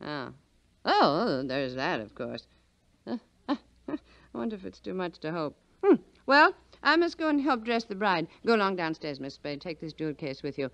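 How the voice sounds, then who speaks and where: Imperious old widow, swooping delivery. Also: female voice, lowish voice, sing-song, moderate pace, a bit bright, dry recording. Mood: brisk, confiding. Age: older. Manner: haughty, dryly sardonic. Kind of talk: 1940s radio acting.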